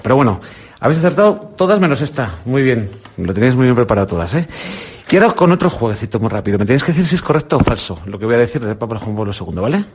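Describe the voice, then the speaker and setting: Husky middle-aged Spanish presenter, upbeat quizmaster with a children's group. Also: male voice, medium pitch. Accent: Spain Spanish. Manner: encouraging, quizzing with quick questions. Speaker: radio presenter. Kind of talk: quiz with children on air. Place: indoor visit with a children's group.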